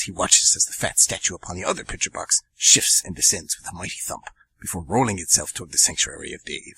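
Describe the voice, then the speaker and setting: A man's voice: low voice, pushed and bright, husky, poor audio. A man narrating an amateur radio drama in a dramatic, ominous storytelling style.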